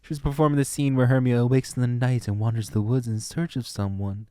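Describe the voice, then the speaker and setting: A coy, theatrical fairy acted by a man for an audio drama. The voice is dark and soft-edged, recorded close and dry, with arch, genteel phrasing and an air of smug nonchalance. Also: medium pitch, steady tone, fast talker, smooth, dry recording, lightly acted. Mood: arch, mock-delicate. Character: coy, theatrical male fairy murder witness, smugly nonchalant.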